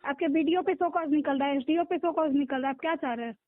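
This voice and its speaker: Tinny phone line, high-voiced insistent woman. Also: quick pace, no room sound. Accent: Bihari. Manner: insistent, directive. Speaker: block-level government official. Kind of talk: official phone conversation. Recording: tinny recorded phone call.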